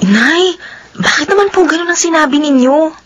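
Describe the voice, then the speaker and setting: A woman's voice: high-pitched, fairly quick, a bit bright. A woman acting an earnest, polite and plaintive young daughter in a melodramatic radio drama, with music underneath. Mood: defensive.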